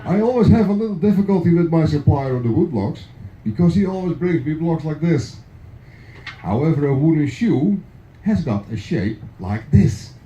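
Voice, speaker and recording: A middle-aged Dutch-accented man demonstrates his craft, cracking jokes and chuckling between remarks. His voice is very dark and his pitch swings lively. The recording is echoey and a bit dull, as in a workshop. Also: normal speed, slight background noise. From Netherlands. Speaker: clog maker. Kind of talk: live craft demonstration for visitors.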